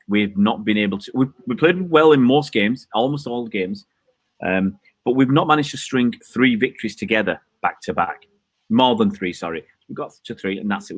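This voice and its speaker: A male host with a fairly low voice chats loosely, rambling and teasing with plenty of ums. The sound is dry and close, with little room around it. He speaks from a balcony outdoors. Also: dry recording. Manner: chatty, jokey, rambling with many fillers. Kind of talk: casual chat.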